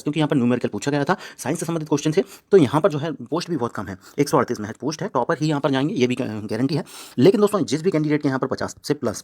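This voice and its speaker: An Indian man presenting an exam-prep explainer, addressing listeners as friends and often repeating himself. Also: on the high side. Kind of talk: explainer talk to viewers.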